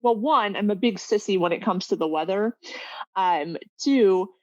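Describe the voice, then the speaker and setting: A woman's voice: medium pitch, moderate pace, no room sound, highs cut off. A woman from Northern California chatting casually as a guest in a conversation. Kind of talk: casual chat.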